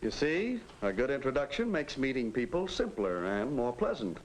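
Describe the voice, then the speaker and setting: A man plays a fatherly, instructive narrator from a 1950s educational film. His mid-pitched voice swings in lively fashion as he coaches a boy in manners, over a faintly noisy old soundtrack. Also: moderate pace, natural voice. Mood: friendly coaching.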